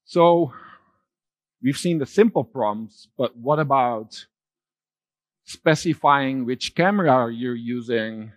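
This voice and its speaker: A middle-aged man giving a conference keynote on a large dark stage, talking loosely with fillers and restarts. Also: medium pitch, slow pace, pauses often, no room sound. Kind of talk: keynote talk with slides.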